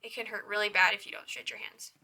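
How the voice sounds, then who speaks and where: A young woman with a hoarse, raspy voice hosts a casual crochet tutorial. She chats with a lot of "like" and counts her stitches in a soft whisper. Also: mid-range voice, fairly even intonation, fast talker, poor audio. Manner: chatty, with lots of "like".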